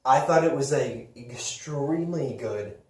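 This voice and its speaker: A man's voice: medium pitch, a little slow. A man hosting a podcast, chatting casually about games and films in an enthusiastic, rambling, informal way. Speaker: podcast host. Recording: roomy echo, distant from microphone.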